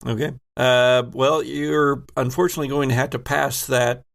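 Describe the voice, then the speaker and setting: A man's voice: mid-range voice, flat delivery, normal speed, gravelly, a bit bright. A man running a tabletop horror game as game master, narrating in a descriptive, suspenseful way.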